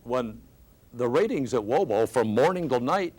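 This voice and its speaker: A man's voice: medium pitch, animated, moderate pace, darkish tone, slightly rough, rough recording. A man, a veteran broadcaster, reminiscing in a panel chat and repeating points for emphasis.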